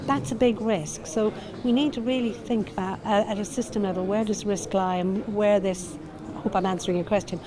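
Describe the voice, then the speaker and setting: A woman, a nurse by background, talks through her views at a busy event. She thinks out loud, with restarts and an earnest tone. A dense crowd chatters behind her. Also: mid-range voice, fairly even intonation, fairly quick. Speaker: nurse and transformation consultant. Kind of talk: panel interview at an event. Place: conference event.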